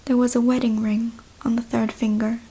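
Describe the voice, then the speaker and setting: A woman reading a story as ASMR in a soft, breathy whisper, hushed and deliberate. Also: on the high side, little pitch movement. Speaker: ASMR reader.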